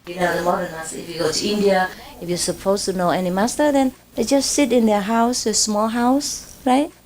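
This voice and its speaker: This is a woman speaking English with a Vietnamese accent, giving an informal spiritual lecture. She rambles warmly from point to point, tossing in "you know" and "huh?" to check on her listeners. Her voice sits in an everyday middle pitch. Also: fairly even intonation, a little fast. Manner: chuckles often while talking. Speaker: spiritual teacher.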